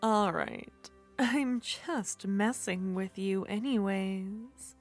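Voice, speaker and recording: A woman performing an ASMR roleplay as a jealous, possessive witch, speaking softly and often whispering. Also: mid-range voice, sing-song, very slow, soft background music. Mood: teasing. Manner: soft-spoken, teasing, often whispering. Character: jealous possessive young witch, teasing and haughty.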